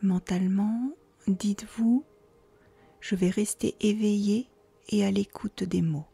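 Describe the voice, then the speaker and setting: A woman leads a guided relaxation in a soft, near-whispered voice. Her delivery is very slow and calm, with a mellow tone and a creaky edge. She gives soothing instructions with unhurried pauses. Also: medium pitch. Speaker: yoga nidra guide. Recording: faint music behind the voice.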